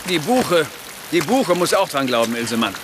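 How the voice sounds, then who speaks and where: An actor in a radio drama playing an imperious, ranting deposed old emperor who blusters and swears. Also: male voice, on the high side, sing-song, normal speed, heavy background noise. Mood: grumbling.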